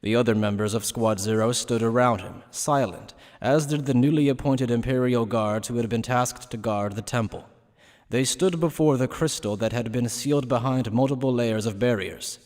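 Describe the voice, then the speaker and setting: A man narrating a fantasy anime fan dub as a solemn, even-toned storyteller. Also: mid-range voice, moderate pace, close to an everyday voice. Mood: solemn, expository. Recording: long reverb on the voice.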